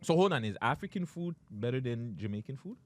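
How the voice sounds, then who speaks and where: A male podcast host whose pitch rises and falls a lot as he talks. He leans on street slang and breaks into laughter while quizzing his guest in a loose, joking way. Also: expressive, a little fast. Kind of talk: casual podcast interview.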